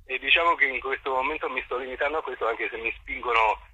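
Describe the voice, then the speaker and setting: Raspy, very bright-voiced male theatre director. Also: medium pitch, fast talker, dry recording, lo-fi sound. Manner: hesitant, searching for words, analytical. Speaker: theatre director, interview guest. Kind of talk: reflective interview answers.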